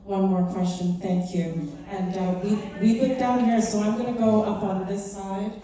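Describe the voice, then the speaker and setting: A woman moderating an audience Q&A in a theater, with brisk hosting. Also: mid-range voice, steady tone, mellow, far from the mic. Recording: echoey theater heard from afar.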